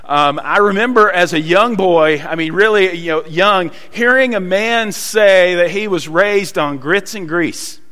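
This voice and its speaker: A man's voice: sits high, sharp tone. Southern man preaching in a hall. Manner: folksy storytelling with many pauses and fillers. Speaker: pastor. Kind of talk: sermon. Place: church hall.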